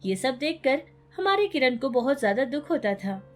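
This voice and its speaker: A high-pitched woman narrates a scripted moral story for children. Faint music plays behind her storytelling. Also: very animated, normal speed.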